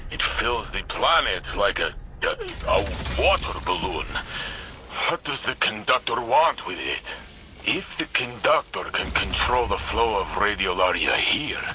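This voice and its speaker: A man acting a brash, excitable soldier on a field mission in a video game. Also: on the low side, a little slow, background noise. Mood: amazed, puzzled.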